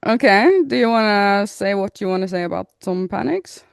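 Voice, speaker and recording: A woman's voice: mid-range voice, normal speed, gravelly, smooth, no room sound, clean studio sound. A woman in her thirties co-hosting a podcast, chatting casually and reading out listener comments with frequent laughter. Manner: giggly, reads comments aloud with frequent laughter. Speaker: podcast co-host.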